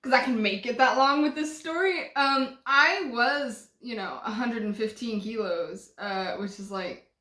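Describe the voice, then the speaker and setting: A woman's voice: a little high, a little slow, pushed and bright, roomy sound. A woman in her early twenties chatting casually at home in her living room, rambling and laughing often. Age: 20s. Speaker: vlogger. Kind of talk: casual chat.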